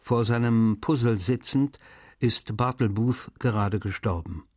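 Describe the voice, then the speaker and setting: An actor playing a male writer, reading in a measured, literary way for a radio feature. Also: fairly low pitch, darkish tone, dry recording, clean studio sound. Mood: solemn, measured. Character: French male writer reading his friendly letters aloud.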